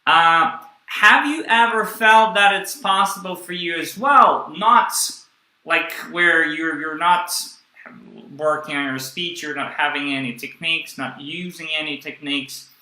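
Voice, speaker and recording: A man interviewing a guest, asking long questions in slow, searching phrasing full of fillers. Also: a little high, sharp tone. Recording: echoey room.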